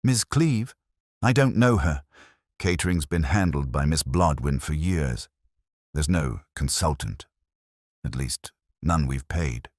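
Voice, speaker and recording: Man voicing a fussy, anxious club woman, low-pitched. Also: animated, slow pace, no room sound, studio quality, heavily acted. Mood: puzzled. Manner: muttering, hesitant, hedging.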